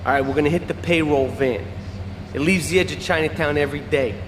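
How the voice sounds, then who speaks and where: A man's voice: a little high, fast talker, in a large hall, noisy background, natural voice. A man voicing a cocky Italian-American mobster in a video game, handing out jobs in streetwise slang. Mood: scornful, commanding. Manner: streetwise slangy wiseguy talk. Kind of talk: video game cutscene acting.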